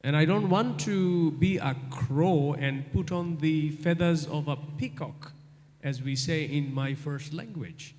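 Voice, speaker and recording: A man's voice: a little high, unhurried, a little mellow. A middle-aged man from Bangladesh with a Bangladeshi English accent, giving a live guest lecture and answering a student's question, repeating his key points for emphasis. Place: large university hall. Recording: long hall reverb.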